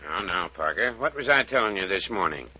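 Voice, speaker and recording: An actor playing a genial older country doctor with a folksy, drawling rustic American way of talking in an old radio thriller. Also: male voice, deep voice, big pitch swings, brisk, slightly rough, no room sound, full character voice. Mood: reluctant, placating. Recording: dull, muffled old radio recording.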